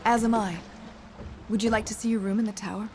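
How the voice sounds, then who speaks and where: An actress playing a kindly, proper governess in a video game cutscene, gentle, formal and polite. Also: female voice, medium pitch, little pitch movement, fast talker, soft background music, close to an everyday voice. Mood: welcoming.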